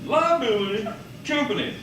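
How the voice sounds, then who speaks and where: A man's voice: very high voice, lively intonation, moderate pace, over a noisy crowd. A man giving an informal, bantering student presentation in a playful, teasing manner. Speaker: student presenter in a class puppet show. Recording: echoey room, distant mic, noisy.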